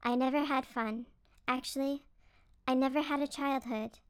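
Woman voicing a high-pitched, stressed female beaver boss. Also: fairly even intonation, moderate pace, clean tone, no room sound, lightly acted. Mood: weary, frustrated. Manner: strict, self-critical, weary. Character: stern, stressed female beaver team leader. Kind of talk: acted storybook character dialogue.

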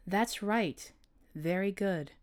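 A man voicing a gentle, wise female owl hypnotist in a storybook, with a soft, smooth, calm and soothing delivery. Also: sits high, takes it slowly, pitched above the performer's own voice. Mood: soothing, encouraging. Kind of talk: storybook character voice.

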